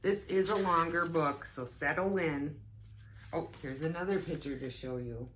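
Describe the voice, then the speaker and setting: Woman, low register, slow and expressive story-time reader for small kids, chatty asides. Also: a little hiss or hum. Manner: expressive, deliberate phrasing addressed to young children. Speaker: teaching assistant reading a picture book aloud. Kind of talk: children's story time read-aloud with asides.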